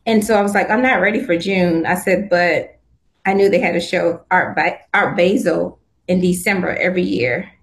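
Woman in her fifties, upbeat and chatty, laughs a lot, designer guest. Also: fairly low pitch. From Southern California. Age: 50s. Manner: upbeat, chatty, laughs often, many restarts. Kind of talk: casual interview chat.

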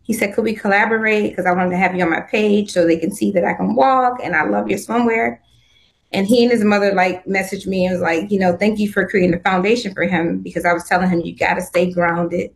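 A woman's voice: medium pitch, fairly even intonation, brisk, gravelly, rough recording. A woman in her fifties from Southern California, chatting about her work as a designer in an upbeat way, laughing often and restarting her sentences. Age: 50s. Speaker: guest, swimwear designer. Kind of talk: casual interview chat.

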